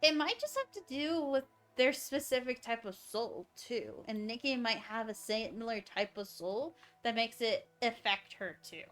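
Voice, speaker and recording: A woman with a fairly high voice comments casually on a game she is streaming. She muses and thinks aloud as she goes. Faint music runs underneath. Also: lively intonation, measured pace, hardly any pauses, fairly bright tone. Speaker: game livestreamer.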